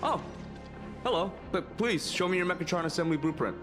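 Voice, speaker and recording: A helpful game attendant, kinda high voice, courteous, bit hesitant, music behind him. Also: male voice, expressive, a little fast. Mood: polite, obliging. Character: polite, helpful male attendant at a robot-building experience. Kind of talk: scripted video game character acting. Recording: music under the voice.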